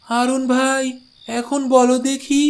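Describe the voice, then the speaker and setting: High flat-voiced helpful village buddy, man doing him, cartoon. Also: measured pace. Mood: kindly. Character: kindly helpful male village porter friend.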